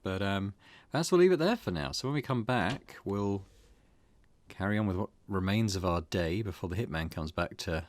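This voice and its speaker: A man's voice: sits low, animated, moderate pace, no room sound. A man hosting a gaming channel with casual, hesitant, easygoing let's play commentary.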